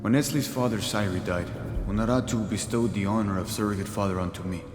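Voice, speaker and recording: A dutiful rebel guardsman, voiced by a man, in a video game, formal and earnest in his requests. His low voice carries a long, roomy reverb. Game music sits clearly behind him. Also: quick pace, natural voice. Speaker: rebel soldier at his post. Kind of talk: video game cutscene dialogue. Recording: echoey, reverberant game mix.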